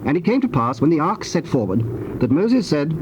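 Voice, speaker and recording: A man narrating scripture from a script in a measured, formal manner. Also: on the high side, sing-song. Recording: dull noisy tape transfer.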